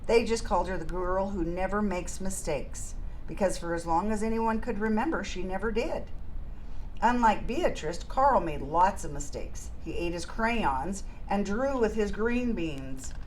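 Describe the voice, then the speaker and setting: A woman's voice: medium pitch, expressive, a little slow. Middle-aged woman reading a storybook, noisy room. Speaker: teacher reading a story aloud. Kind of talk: children's picture-book read-aloud. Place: carpeted indoor room with couches.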